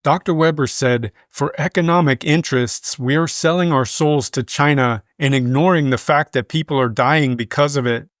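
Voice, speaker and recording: A man reading scripted news as a newsreader, in a measured, formal manner. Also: on the high side, no room sound, clean studio sound. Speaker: news reader.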